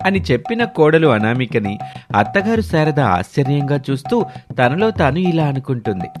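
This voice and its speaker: A man's voice: on the high side, very animated, normal speed, over music. A man reading scripted narration for a moral story as the storyteller.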